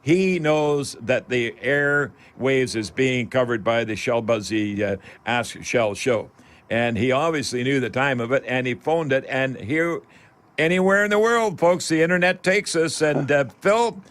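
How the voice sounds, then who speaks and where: A man's voice: medium pitch, a little slow, fairly bright tone. A man hosting a live radio call-in show, chatting in a folksy way and often addressing the listeners directly.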